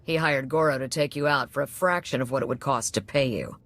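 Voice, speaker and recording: A woman's voice: extremely low pitch, brisk. A woman playing a wry, unfazed cantina keeper in a video game, sardonic and nonchalant. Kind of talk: video game character acting.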